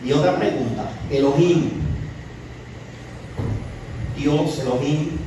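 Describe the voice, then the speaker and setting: A man's voice: a little high, slow pace. A Puerto Rican man with a Puerto Rican accent teaching a weekly Torah portion to a congregation, often asking questions and adding "¿verdad?". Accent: Puerto Rican Spanish. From Puerto Rico. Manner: asks the audience questions and repeats words, often adding a tag ¿verdad?. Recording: very echoey distant room recording with noise.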